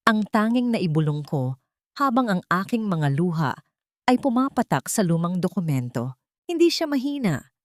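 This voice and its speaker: A woman with a Filipino accent reads a dramatic first-person story in a solemn, deliberate, theatrical way. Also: lowish voice, no echo, clean studio sound. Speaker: audiobook narrator.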